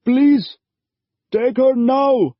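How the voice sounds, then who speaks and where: A man voicing a frantic father who orders and pleads in a forceful, raised voice. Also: high voice, slow, slightly rough, no echo, pitched above the performer's own voice, full character voice. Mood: pleading. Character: desperate father of a teenage girl, stern. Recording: dull lo-fi audio.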